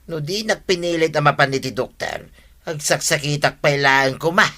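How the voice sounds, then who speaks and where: A grateful villager, with a fairly high, bright and forceful voice. His delivery is that of rural radio drama. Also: normal speed. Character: grateful village man recovering from illness. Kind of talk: Ilocano radio drama acting.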